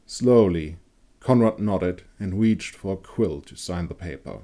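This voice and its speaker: Man, deep voice, dramatic narration, dark fantasy audiobook, scripted storytelling. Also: expressive, unhurried. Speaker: author and narrator.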